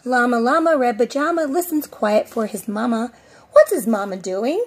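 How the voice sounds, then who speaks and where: Middle-aged female preschool teacher, high-pitched, expressive sing-song read-aloud for small children. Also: normal speed, husky. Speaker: preschool teacher reading a storybook. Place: outdoors on a covered porch.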